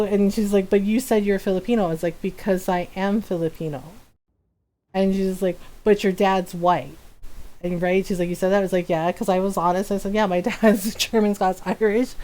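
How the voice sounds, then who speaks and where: Casual American woman streamer telling personal stories. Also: medium pitch. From United States. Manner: animated retelling with acted-out quoted dialogue. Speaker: live streamer.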